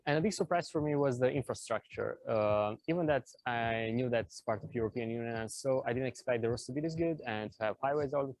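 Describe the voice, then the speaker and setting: A man's voice: mid-range voice, a little fast, few pauses, a little mellow, no echo, poor audio. A man from Romania with a Romanian accent, giving a casual testimonial as a digital nomad.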